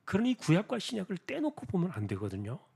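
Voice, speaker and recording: A man preaching an expository sermon as a pastor, explaining deliberately with rhetorical questions and a husky voice. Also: sits high, huge pitch swings, darkish tone. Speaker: pastor preaching at a church prayer meeting.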